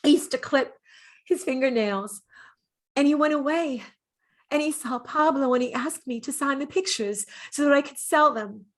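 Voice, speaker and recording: Casting: actress as gentle dead mistress, high-pitched, wistful, halting, intimate radio-play monologue of remembered love. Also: female voice, close to an everyday voice. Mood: wistful, wounded. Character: dead gentle lovelorn woman, artist's former lover, remembering. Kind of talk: dramatic monologue in an audio play reading.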